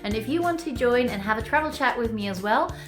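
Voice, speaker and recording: A woman hosting a casual travel interview series over a video call, upbeat and quick to laugh. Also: fairly high pitch, expressive, faint music behind.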